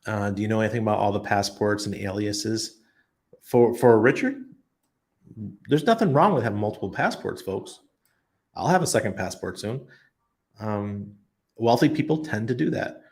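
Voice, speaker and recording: Stream host, male, low gravelly voice, loose rambling delivery with ums and restarts. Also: animated, moderate pace, long pauses, darkish tone. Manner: rambling, thinks aloud with many ums and restarts. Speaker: livestream host answering chat. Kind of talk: casual chat. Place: expat living abroad.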